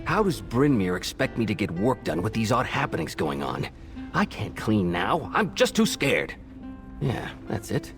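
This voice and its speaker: A man's voice: lowish voice, big pitch swings, moderate pace, over music. A man playing a skittish inn cleaner in a fantasy game, complaining and making excuses to get out of work. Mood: anxious, sly. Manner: complaining, self-justifying.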